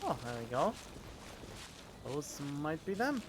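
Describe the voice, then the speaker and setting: A man's voice: fairly high pitch, very animated, slow pace, background noise. A man giving casual commentary as he plays a video game, with music behind him. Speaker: gamer, streamer. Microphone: studio microphone.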